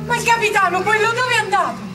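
A woman's voice: very high voice, quick pace, loud music behind the voice. An actress with a Roman accent playing a spirited woman of the people in theatrical radio storytelling, exclamatory and full of rhetorical questions. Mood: vivid storytelling. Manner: exclamatory, rhetorical, repeating words.

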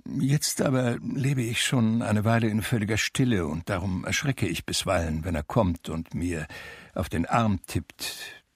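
A low-voiced, gravelly ageing recluse tells his own story for a radio play. He is measured and reflective, with melancholy and dry irony, recorded close in a very dry studio. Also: dry recording. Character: Homer, melancholy ageing blind recluse recalling his life. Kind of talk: literary first-person radio drama narration.

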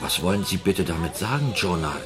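Deep-voiced, deferential, bewildered tailor. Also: male voice, animated, brisk. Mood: polite, puzzled. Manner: deferential, hesitant, questioning. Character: polite, sceptical, bewildered tailor, a deferential man. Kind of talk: German radio play acting. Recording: music behind the voice.

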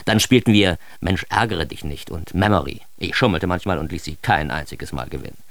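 An actor narrating a radio drama as a self-important, deadpan East German secret police officer. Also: male voice, low voice, fairly quick, vocal fry, no room sound. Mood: deadpan, smug. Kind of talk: scripted first-person radio drama narration.

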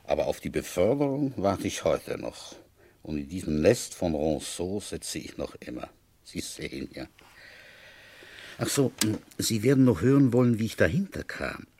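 A man playing a shrewd, wry village police inspector in a radio play, deliberate and brooding as he tells his case. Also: low voice, big pitch swings, darkish tone, husky, exaggerated voice. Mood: wry, confiding. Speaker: narrator and lead actor. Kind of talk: radio play, first-person detective narration.

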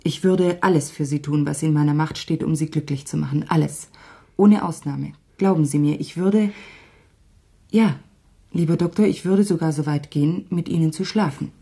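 An actress playing a frank, tender lady of old France in a radio play, speaking in a measured, heartfelt way. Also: low voice, mellow, husky. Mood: anguished sincerity.

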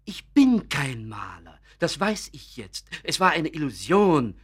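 A man's voice: on the high side, very animated, unhurried, breathy edge, exaggerated voice. A man playing a fervent, idealistic amateur artist in a German radio play, effusive, solemn and self-important. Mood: grateful, relieved.

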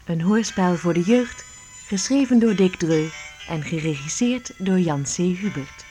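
A woman's voice: on the low side, animated, unhurried, dark tone, soft background music. A woman announcing a radio play's titles in a scripted style.

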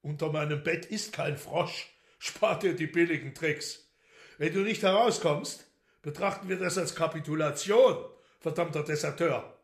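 An actor playing a commanding, sardonic devil in a radio play, with a raspy, gravelly voice and courtly, mocking manner. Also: male voice, fairly high pitch, moderate pace. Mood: irritated, scolding. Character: commanding, sardonic devil holding court among his retinue.

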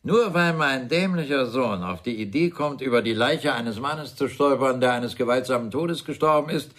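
A man's voice: mid-range voice, a bit bright. An actor playing a bitter, sarcastic older father who blusters scornfully in a German radio crime drama. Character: sardonic, bitter, well-off father, widowed businessman.

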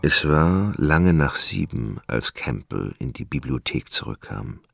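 A male narrator with an extremely low voice and a gravelly creak. He delivers literary radio drama gravely and with measure, in a very dry recording. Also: sing-song, measured pace, a bit bright, no room sound, soft background music.

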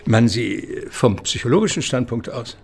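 An actor playing an educated, thoughtful doctor who explains things matter-of-factly in a radio crime drama. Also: male voice, mid-range voice, expressive, normal speed, vocal fry, darkish tone, slightly rough. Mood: thoughtful, informative. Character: educated, matter-of-fact male doctor assisting a detective.

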